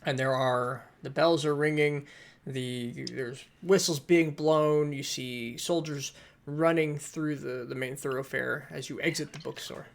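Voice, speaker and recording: A man narrating as game master for a tabletop game over an online voice call, pausing often with fillers as he describes the scene. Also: on the high side, fairly even intonation, creaky. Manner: hesitant narration with many fillers. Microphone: computer mic on an online voice call.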